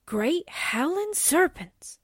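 A woman's voice: extremely high pitch, very animated, slow pace, no echo, studio quality, heavily acted. A woman playing a wisecracking, sardonic newspaperwoman, full of slangy quips, in 1940s radio drama style. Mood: startled. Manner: sassy, slangy exclamations and quips.

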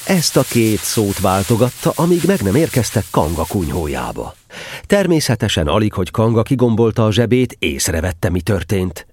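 A man's voice: lowish voice, big pitch swings, darkish tone, breathy edge. A man narrating a children's story from a script as part of an audio drama.

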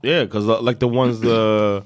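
A man's voice: fairly low pitch, steady tone, unhurried. A man co-hosting a casual podcast chat, joking bluntly and crudely and laughing along. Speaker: podcast co-host.

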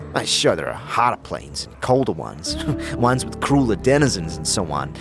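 A man voicing an irreverent talking skull in a fantasy audio drama, chatty and sardonic. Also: on the low side. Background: prominent background music.

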